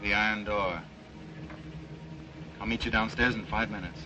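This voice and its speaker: A man playing a steady, gallant hero in old film style, brisk, reassuring and plain-spoken. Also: lowish voice, natural voice. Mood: concerned, resolute. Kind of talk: 1930s film acting. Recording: muffled distant old film soundtrack, music behind.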